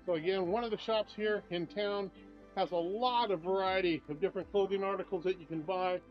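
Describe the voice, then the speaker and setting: Older male vlogger, upbeat and chatty walking-tour guide, fairly high voice, camera-mic sound with faint shop music. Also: steady tone, fairly quick. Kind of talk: casual walking tour narration. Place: shops and streets of a small town, indoors and out. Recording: slightly dull sound, faint music behind.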